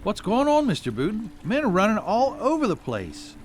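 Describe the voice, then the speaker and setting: An actor with an American accent playing a loyal, respectful young telegraph assistant in a radio drama. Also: male voice, sits high, wildly swooping pitch, moderate pace. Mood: alert, anxious.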